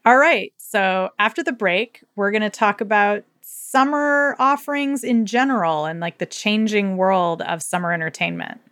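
A woman's voice: mid-range voice, normal speed, few pauses, a bit bright, slight background noise. A woman co-hosting a podcast, chatting casually and effusively in colloquial, slangy language as she recommends books. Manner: enthusiastic, effusive. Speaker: podcast co-host. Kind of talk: casual book recommendation chat.

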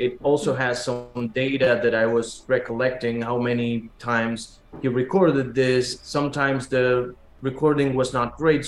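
A man from Argentina with an Argentine accent, a native Spanish speaker, answering as an interview guest in a halting, conversational way with repeated words. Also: mid-range voice, a little hiss or hum. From Argentina, raised in Buenos Aires. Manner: halting, repeats words as they search for phrasing. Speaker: musician guest, pianist and teacher. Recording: echoey room sound.

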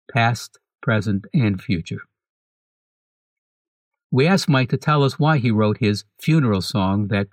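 A man reads a scripted podcast segment in a measured, even way. His voice sits at an ordinary middle pitch. It is recorded close and dry, with no room sound. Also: no room sound. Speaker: podcast host.

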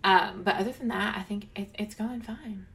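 This young woman laughs easily and talks in a loose, rambling way, full of "um" and "like", while co-hosting a chat podcast. Her voice sits at an ordinary middle pitch for a woman. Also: moderate pace, creaky, fairly bright tone. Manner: giggly, lots of fillers like "um" and "like". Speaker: podcast co-host. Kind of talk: casual chat.

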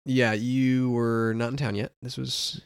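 A man's voice: medium pitch, flat delivery, a little slow, clean tone, no room sound, clean studio sound. A young man co-hosting a podcast in casual chat, rambling and laughing often. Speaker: podcast co-host.

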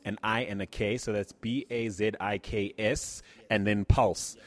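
A man's voice: lowish voice, steady tone, measured pace. A man hosting a conversational interview, putting questions to his guest. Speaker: interviewer and show host.

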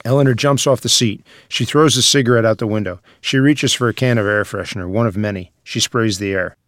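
A man's voice: fairly low pitch, brisk, fairly bright tone, no room sound, studio quality. A man narrating the stage directions of a screenplay at a table read.

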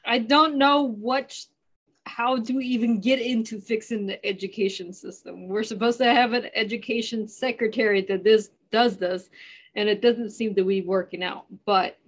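Chatty higher-voiced woman hosting a show. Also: fairly even intonation, normal speed. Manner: chatty and self-deprecating, laughing at her own slips. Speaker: radio show host. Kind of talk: casual interview chat.

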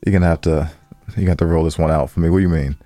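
A man's voice: a bass voice, steady tone, rapid-fire, warm tone, no room sound, soft background music. An American man co-hosting a podcast, chatting in a colloquial, teasing way and often repeating himself. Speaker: podcast co-host. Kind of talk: casual, bantering podcast chat.

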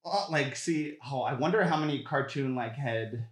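A woman's voice: extremely low pitch, normal speed. A woman chatting casually as a guest on a comedy podcast. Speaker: podcast guest, sketch writer. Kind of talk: casual chat.

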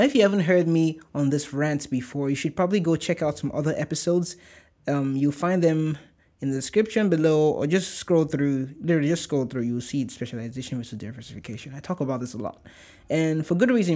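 A man's voice: fairly high pitch, animated, brisk, darkish tone. A young man from Nigeria with a light Nigerian accent, hosting a casual solo podcast in an upbeat, chatty, rambling way. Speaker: podcast host.

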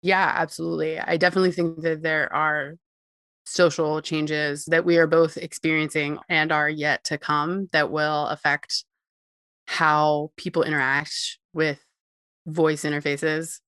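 A woman's voice: sits low, little pitch movement, measured pace, a bit bright, smooth, no echo, clean studio sound. A woman guest giving long explanatory interview answers about technology, often with a light laugh.

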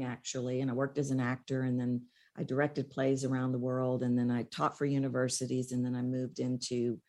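A middle-aged woman, telling her story as an interview guest in an expressive, emphatic way with dramatic pauses. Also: deep voice. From Texas, United States.

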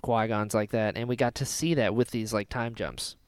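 A man's voice: on the low side, normal speed, clear, resonant tone, dry recording, studio quality. A man hosting a podcast, chatting casually and enthusiastically as a fan. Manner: enthusiastic, animated. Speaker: podcast host.

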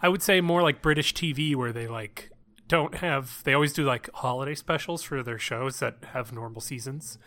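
Bright, nasal man on a podcast. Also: medium pitch, normal speed. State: congested with a cold-like illness. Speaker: podcast co-host. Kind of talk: casual comedic chat.

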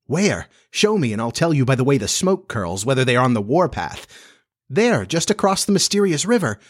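A man voicing excitable young children in a storybook, eager and exclaiming. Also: medium pitch, measured pace, no room sound, studio quality. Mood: excited.

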